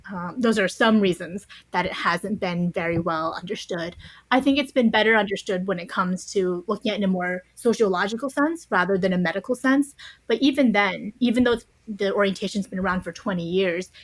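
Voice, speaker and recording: A woman with a mid-pitched voice answers as a guest in a conversational interview. She explains her points articulately, step by step, checking in with small conversational tags. The sound is dry, with very little room in it. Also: moderate pace, no room sound, low-quality recording. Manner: articulate, explanatory, often checks with "you know" and "right?". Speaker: podcast guest, author interviewed on a book.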